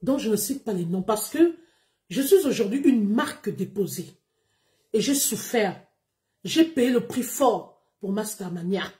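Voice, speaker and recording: A woman, talking straight to her followers on a livestream. Her voice is mellow and warm, and her pitch rises and falls in big, lively swings. She speaks unhurriedly and declaims each point with weight. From Gabon. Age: 30s. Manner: emphatic, declamatory, deliberate. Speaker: live streamer.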